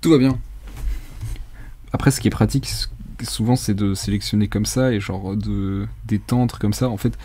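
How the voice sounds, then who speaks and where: A young man with a soft, dark and somewhat husky voice walks through software in a screencast. His pitch sits fairly low. He talks casually and unscripted, hesitating and rambling in a colloquial, self-deprecating way. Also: fairly even intonation, normal speed. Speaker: tutorial presenter.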